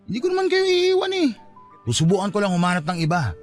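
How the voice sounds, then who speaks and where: A man's voice: high-pitched, wildly swooping pitch, fast, faint music behind. An actor playing a hurt, fed-up young father in an emotional, reproachful Filipino radio drama style. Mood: frustrated, hurt. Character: hurt, fed-up young father giving up on his unrequited love.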